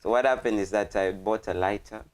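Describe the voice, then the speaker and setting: A man's voice: fairly low pitch, brisk, a little mellow. A young man from Congo, a student guest telling anecdotes casually in a playful, joking way. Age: young adult. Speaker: student guest on a talk show. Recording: clearly audible background noise.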